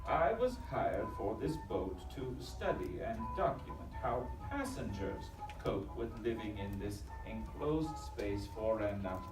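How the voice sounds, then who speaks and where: A man playing an older ship's doctor in a live audio drama, formal, halting and stammering. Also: medium pitch. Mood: formal, hesitant. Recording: echoey live stage, distant, music behind.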